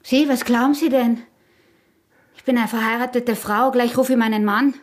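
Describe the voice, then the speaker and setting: An actress playing a sly, flirtatious peasant wife in a radio drama, speaking Austrian dialect in a teasing, taunting way. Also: female voice, on the high side, steady tone, moderate pace, close to an everyday voice. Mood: mock indignant, taunting.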